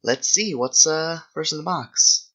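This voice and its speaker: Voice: medium pitch, unhurried, fairly bright tone, breathy edge, highs cut off. A young adult speaker chatting casually as a video host, rambling, thinking aloud and often self-correcting. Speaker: video host answering viewer questions while gaming. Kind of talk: casual rambling gaming chat.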